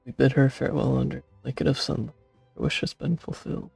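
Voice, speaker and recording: Very gravelly male game streamer. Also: mid-range voice, level tone, fast talker, darkish tone. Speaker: game streamer reading dialogue choices aloud. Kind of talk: casual let's-play read-aloud. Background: game music.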